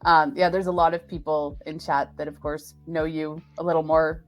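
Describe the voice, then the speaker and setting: A woman hosting a casual live stream interview, with a chatty, jokey and halting way of talking. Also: low-pitched, little pitch movement, soft background music. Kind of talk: casual unscripted chat.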